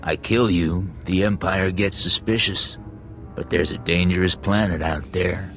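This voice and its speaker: A hard, menacing interrogator from a sci-fi game. His voice is very deep and he takes his time, dropping terse threats over faint music. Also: fairly even intonation, unhurried, fairly bright tone. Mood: coldly mocking. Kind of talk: dramatic sci-fi game dialogue.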